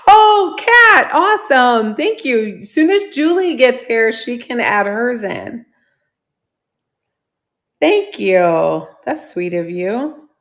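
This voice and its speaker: A slightly hoarse woman hosting a live sale stream, chatting casually, greeting viewers by name and laughing often. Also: sits high, fairly bright tone.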